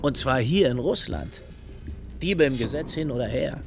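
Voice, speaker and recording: A man's voice: medium pitch, lively intonation, moderate pace, vocal fry, over music. A man playing an agitated, boastful film director in a radio play, stammering as he rants with derision. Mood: indignant, defiant. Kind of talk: dramatic radio play dialogue.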